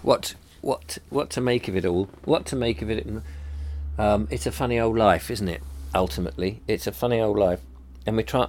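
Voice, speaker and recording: A man's voice: on the low side, no room sound. A middle-aged man with a blocked-up nose, musing hesitantly to camera with many ums and restarts. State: congested, bunged up. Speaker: vlogger. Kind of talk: rambling personal reflection to camera.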